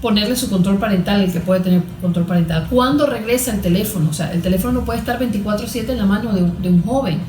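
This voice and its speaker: A woman's voice: medium pitch, fast, hardly any pauses, dark tone. A woman speaking as a guest therapist in an interview, explaining emphatically with rhetorical questions. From Venezuela. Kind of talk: conversational interview answers on parenting. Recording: roomy, echoing sound.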